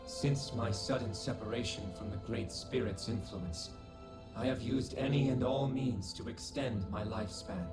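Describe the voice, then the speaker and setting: A man's voice: mid-range voice, lightly acted. Actor as echoing, formal ancient alien man. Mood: coldly explanatory. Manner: formal, measured, detached. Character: ancient alien researcher, aloof, coldly logical, long-lived. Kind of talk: video game cutscene acting. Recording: echoing voice under loud game music.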